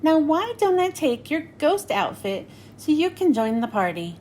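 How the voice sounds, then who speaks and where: A kind grown-up hostess speaks in a high, lilting voice that swings up and down, as a woman reading a picture book puts her on. Her lines come slowly and gently, in a friendly storybook manner. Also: very animated, slight background noise. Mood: kindly.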